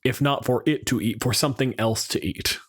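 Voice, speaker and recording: Male podcast co-host, medium pitch. Casual, argumentative, sweary, thinking out loud. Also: brisk, husky. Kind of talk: casual, joking podcast discussion of game monsters.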